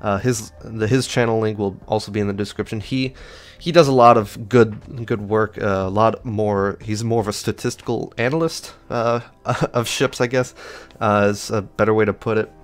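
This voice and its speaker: A man's voice: lowish voice, steady tone, normal speed. A man hosting a gaming channel, casually walking through ship statistics in a rambling, offhand way with many fillers and restarts. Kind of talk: casual gameplay stats walkthrough.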